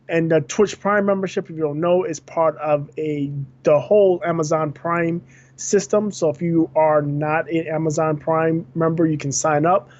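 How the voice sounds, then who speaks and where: Male co-host, news rundown, halting with ums, fairly high voice, dull muffled sound. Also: a little mellow. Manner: reads news items, halting with fillers. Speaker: podcast co-host. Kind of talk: casual gaming news podcast.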